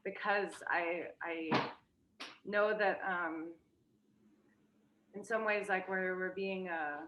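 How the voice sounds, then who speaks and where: A woman's voice: fairly low pitch, steady tone, takes it slowly, highs cut off. A young woman, a guest in a casual interview, talking hesitantly with many fillers and restarts. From United States.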